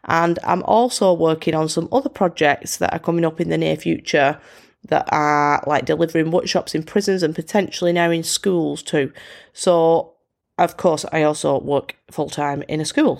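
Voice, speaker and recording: A woman's voice: low voice, creaky. A British woman hosting a solo podcast, chatting in an upbeat, self-deprecating way with a bunged-up, congested voice. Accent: British English. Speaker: podcast host. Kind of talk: casual solo podcast chat.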